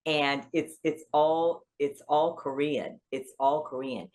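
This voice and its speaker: Lively woman podcast guest, low voice, storyteller who bursts higher when excited, from rural South Carolina. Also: slow pace. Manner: animated storyteller, pitch jumps high when excited. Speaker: family law attorney, guest. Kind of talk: storytelling in casual interview.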